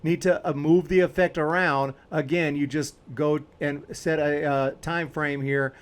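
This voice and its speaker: A man's voice: a little high, fairly even intonation, unhurried, smooth. A man hosting a video editing tutorial, talking casually and informally as he explains each step while clicking along.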